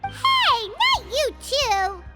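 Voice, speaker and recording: A woman's voice: very high voice, huge pitch swings, drawn-out words, full character voice. A woman playing a small, cheeky, childlike fairy companion in an anime video game, with a perky manner. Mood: indignant. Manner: refers to self in third person, perky. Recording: music behind the voice.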